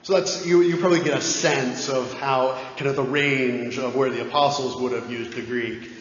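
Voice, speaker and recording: A man's voice: medium pitch, steady tone, normal speed, a little hiss or hum. A man, a parish priest, answering questions in casual talk, often checking in with his listeners. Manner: conversational, keeps checking in with "right?". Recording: echoey dull church hall recording.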